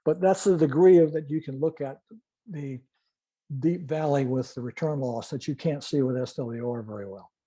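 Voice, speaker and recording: An older man giving an informal technical presentation and demonstration, explaining methodically in a halting way. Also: mid-range voice, warm tone, low-quality recording. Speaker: club presenter, retired-style veteran telephony engineer.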